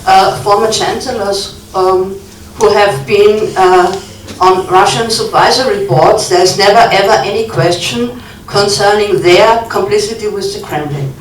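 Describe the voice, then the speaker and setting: A woman in the audience giving a long earnest comment, halting with many ums. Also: mid-range voice. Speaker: audience member. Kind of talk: conference Q&A comment. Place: conference hall. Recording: echoey hall, distant mic, faint noise.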